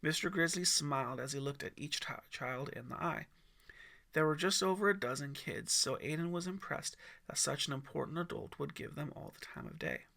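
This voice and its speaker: Male audiobook narrator, expressive scripted storytelling, slightly high pitch, bright forceful tone, vocal fry. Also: moderate pace.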